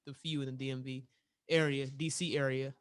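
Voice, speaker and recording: A man's voice: medium pitch, little pitch movement, brisk, clean tone, no echo, clean studio sound. A man hosting a casual podcast interview, talking hesitantly with many restarts and filler words. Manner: hesitant, restarts often with many "um"s and "you know"s. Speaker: podcast host.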